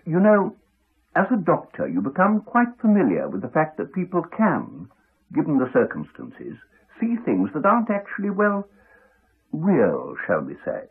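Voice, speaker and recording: A muffled 1980s radio drama recording of a courteous but crotchety English doctor. His delivery is dry and formal, with lively rises and falls and a slightly husky tone. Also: on the high side, exaggerated voice. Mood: dryly evasive. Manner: dry, formal, measured politeness. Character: crotchety, evasive, courteous male village doctor.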